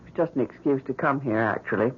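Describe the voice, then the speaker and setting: A woman's voice: rumbling low, fast, husky. An actress playing a stubborn, bereaved middle-aged mother in a 1970s radio drama, with an emotional, insistent delivery. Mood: casual. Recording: dull lo-fi old radio broadcast.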